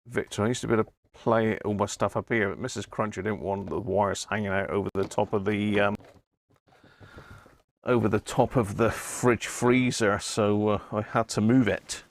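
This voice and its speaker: Low-voiced British man, gravelly, muttering. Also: fairly even intonation, moderate pace, dry recording. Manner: muttering to himself, rambling. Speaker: livestream host and radio hobbyist. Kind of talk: casual livestream chat while tinkering.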